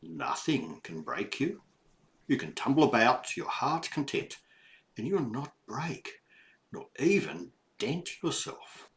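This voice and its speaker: A man voicing a kind, wise hen from a storybook, giving advice in an earnest, deliberate way. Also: mid-range voice, big pitch swings, a bit bright, pitched above the performer's own voice. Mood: earnest advising.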